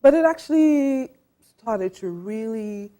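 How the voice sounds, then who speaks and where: A middle-aged woman from Trinidad and Tobago, a fashion designer, answering interview questions in a soft, thoughtful way with many pauses and fillers. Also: fairly high pitch, expressive. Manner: soft-spoken, thoughtful, many ums and uhs. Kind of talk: interview guest.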